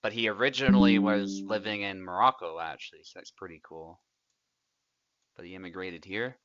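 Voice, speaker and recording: A man's voice: lowish voice, normal speed, no echo, highs cut off. A young man, casually commentating a tournament he is also playing in, chatty and laughing often. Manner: relaxed, chatty, laughs often, trails off quietly.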